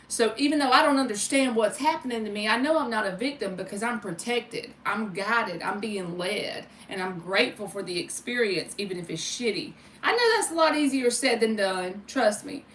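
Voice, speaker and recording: A woman's voice: mid-range voice, animated, moderate pace, a bit bright. A woman hosting a casual livestream as a tarot reader, chatting informally with viewers and giving spiritual readings. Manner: informal, chatty, addresses viewers by name, mild swearing. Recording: roomy, distant mic with faint noise.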